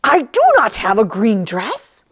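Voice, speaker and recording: A woman's voice: medium pitch, very animated, measured pace, fairly bright tone, breathy edge, no room sound, pitched below the performer's own voice, full character voice. A woman voicing an indignant, easily alarmed male dog in a children's storybook, protesting and exclaiming emphatically.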